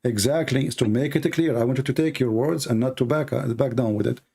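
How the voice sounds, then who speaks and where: Male debate host, native Arabic speaker with Arabic accent, mid-pitched gravelly voice, insistent and challenging. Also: a little fast, dark tone, slight background noise. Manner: insistent, challenging questioning, repeats points. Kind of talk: argumentative live religious debate.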